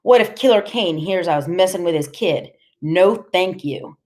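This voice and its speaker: A woman's voice: on the low side, normal speed, a little mellow, lightly acted. A woman narrator voicing a bossy, menacing tough man in an audiobook story, curt and slangy. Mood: irritated. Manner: curt, streetwise, slangy, commanding.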